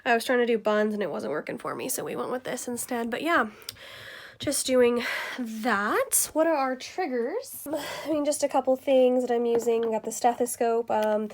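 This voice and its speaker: A woman's voice: fairly high pitch, lively intonation, moderate pace. A woman talking informally to her viewers, explaining things in a casual, conversational behind-the-scenes vlog style. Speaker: ASMR video creator and vlogger.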